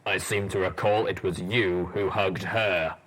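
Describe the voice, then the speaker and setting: A man doing a slow, rumbling ghoul pony ranger. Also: fairly even intonation, fairly bright tone. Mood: dry. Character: gruff taciturn male ghoul pony ranger.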